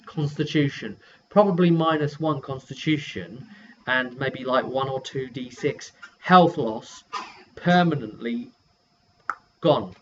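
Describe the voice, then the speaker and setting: A man gives a casual, rambling recap as a tabletop game master, working things out aloud as he goes. His voice sits at an everyday male pitch. The recording is a little dull, with faint background noise. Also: a bit bright. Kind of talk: casual vlog recap of a role-playing session.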